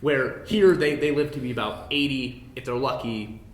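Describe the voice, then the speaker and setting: A man running a tabletop game as game master, narrating in a casual, informal and self-deprecating way. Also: normal speed. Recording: roomy echo, low rumbling background noise.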